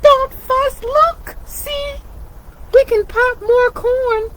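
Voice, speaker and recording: Tiny fussy mouse for a storybook reading: very high, squeaky, eager. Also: female voice, fairly even intonation, very slow, exaggerated voice, poor audio. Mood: reassuring.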